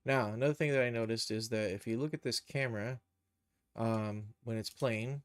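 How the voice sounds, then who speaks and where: A man hosting a casual, unscripted software tutorial, thinking aloud as he explains each step. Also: medium pitch, normal speed, smooth, no echo. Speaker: live stream tutorial host, game and cartoon developer.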